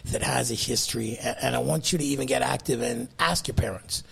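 A man's voice: mid-range voice, a little fast, creaky, a bit bright, husky. A man hosting a radio show, talking in an upbeat, conversational way and plugging the show. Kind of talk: casual radio chat.

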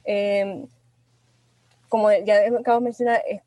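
Venezuelan woman in a dry studio, talks with lots of uh and restarts, pretty even tone. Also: mid-range voice, unhurried, no echo. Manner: hesitant, many fillers and restarts. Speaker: guest, industrial designer. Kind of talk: radio interview. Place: radio studio.

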